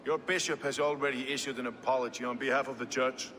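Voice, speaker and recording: A man's voice: medium pitch, fast talker, slight background noise, lightly acted. Sardonic, weary medieval man, played by an actor. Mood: bitter. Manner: wry, blunt, expressive. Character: rough, sardonic, weary medieval man, foul-mouthed but reasonable. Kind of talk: dramatic video game dialogue.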